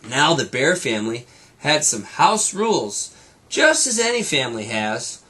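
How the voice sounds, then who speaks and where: A man's voice: on the high side, pushed and bright. A man, a teacher, reading a children's storybook aloud to students with casual little asides.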